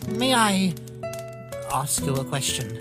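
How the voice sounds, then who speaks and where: A woman's voice: deep voice, sing-song, takes it slowly, heavily acted. A woman voicing a polite, innocent young male skeleton in a comic dub, earnest and a little formal. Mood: hesitant, troubled. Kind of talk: comic dub character acting. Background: music.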